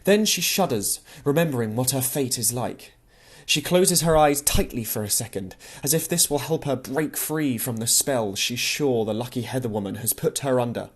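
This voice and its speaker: A man with a medium-pitched voice narrates literary fiction for an audiobook. His reading is expressive, and he shifts his voice to act out lines of dialogue. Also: normal speed, breathy edge.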